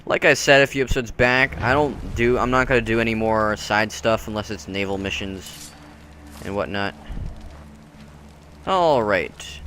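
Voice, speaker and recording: A man's voice: fairly low pitch, measured pace, sharp tone, slight background noise. A man giving casual commentary while playing through a video game.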